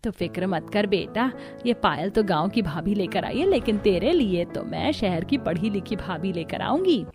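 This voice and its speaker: A woman's voice: medium pitch, animated, moderate pace, few pauses, music in the background, close to an everyday voice. A woman voicing a bitter, disapproving mother-in-law in a cartoon, dramatic and reproachful. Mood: scornful, reassuring.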